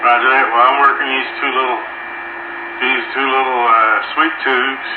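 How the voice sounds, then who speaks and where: A man's voice: mid-range voice, level tone, normal speed. An American man chatting casually and folksily in radio slang as a CB operator over a two-way radio. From Pennsylvania, United States. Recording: muffled, echoey CB radio with heavy static. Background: loud radio static.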